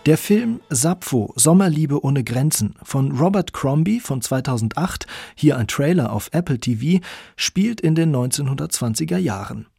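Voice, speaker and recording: A man presenting a radio feature in scripted narration, with a gravelly, husky voice. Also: a little high, expressive, moderate pace, dark tone, no room sound.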